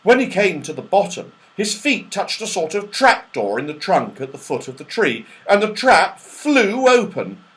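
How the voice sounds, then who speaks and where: A man reading a children's story aloud in an expressive, dramatic storytelling manner. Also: high voice, moderate pace, hardly any pauses. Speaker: audiobook reader.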